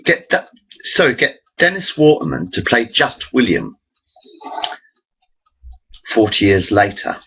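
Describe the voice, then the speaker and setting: Guy in his 40s rambling at his laptop cam, pitch all over the place, kinda raspy. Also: huge pitch swings, unhurried, pauses often, highs cut off. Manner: rambling, self-interrupting, chatty. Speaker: vlogger. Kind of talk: rambling webcam monologue. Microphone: built-in computer camera mic.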